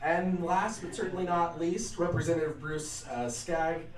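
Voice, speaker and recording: A man's voice: on the high side, moderate pace. An American man hosting an event, giving informal introductions and putting questions to a panel. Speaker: event host and panel moderator. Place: indoor event room at a lunch. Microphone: shared event microphone. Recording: echoey room, distant microphone.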